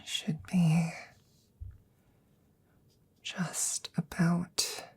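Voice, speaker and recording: A woman playing an anxious, caring scientist in a whispered ASMR audio roleplay, halting and repeating herself nervously. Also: lowish voice, flat delivery. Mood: awed, nervous. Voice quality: breathy, husky whisper. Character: anxious female scientist tenderly saving her dying best friend.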